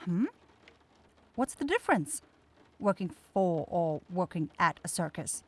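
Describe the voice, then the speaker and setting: A woman's voice: lowish voice, exaggerated voice. Lady acting as a sweet young witch, shy n stammery, gets flustered easy, fantasy asmr roleplay. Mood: gentle, concerned. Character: flustered, kind young forest witch nursing an injured stranger.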